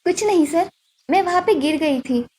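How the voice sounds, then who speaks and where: A woman voicing a young, caring and protective elder sister in a cartoon story, concerned and gently chiding. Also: very high voice, animated, moderate pace, slight background noise. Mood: reassuring. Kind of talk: Hindi cartoon story dubbing. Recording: music behind the voice. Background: music.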